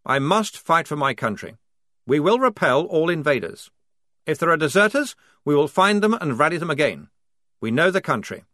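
A man's voice: a little high, sing-song, moderate pace, a bit bright, no room sound, studio quality, voice pushed up. A man playing a stiff, pompous young army lieutenant. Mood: resolute. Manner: stiff, formal speechmaking. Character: fussy, earnest young male army lieutenant. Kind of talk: audiobook character voice.

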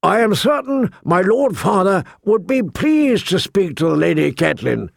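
A man's voice: high voice, very animated, normal speed, vocal fry, no room sound, pitched above the performer's own voice, full character voice. A man plays a tired, weaselly old knight past sixty in a fantasy audiobook. He speaks as a formal envoy, with careful courtesy and a dry, close sound. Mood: smooth, formal.

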